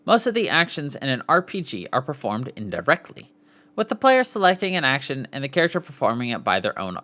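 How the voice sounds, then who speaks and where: A podcast host reads written material aloud in a mid-range voice. The pitch moves around a lot from phrase to phrase. The delivery is formal, like an article, with occasional stumbles over words. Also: a bit bright. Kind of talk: reading an article aloud with brief asides.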